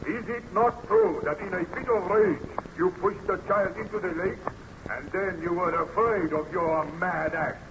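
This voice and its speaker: A stern village burgomaster in an old radio drama, with a fairly high voice. He speaks in a blunt, officious, accusing way. The recording is muffled and heavy with noise. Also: measured pace. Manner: blunt, formal, interrogating.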